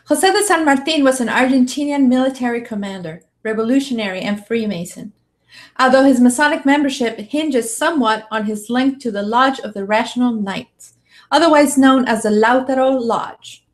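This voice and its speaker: A woman's voice: fairly high pitch, moderate pace. A woman in her thirties reading a scripted narration aloud into a studio microphone indoors. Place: small room, indoors. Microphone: studio condenser mic with pop filter.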